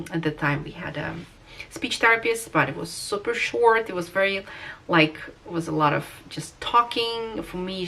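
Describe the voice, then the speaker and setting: A woman's voice: fairly low pitch, a bit bright, distant mic, low-quality recording. A woman in her thirties from Russia with a Russian accent, talking through a personal vlog in a hesitant way, with many fillers and restarts. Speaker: vlogger.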